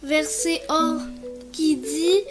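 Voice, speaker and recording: A keen little girl, performed by a woman, talks in a children's cartoon. The voice is extremely high and slow, with music clearly behind it. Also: steady tone. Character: keen, well-taught little granddaughter.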